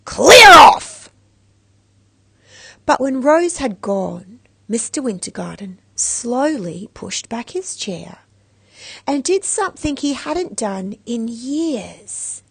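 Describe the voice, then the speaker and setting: A woman narrates a children's story with great expression. Her voice is fairly high and animated, taken slowly, with a husky grain. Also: dry recording. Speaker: storybook reader.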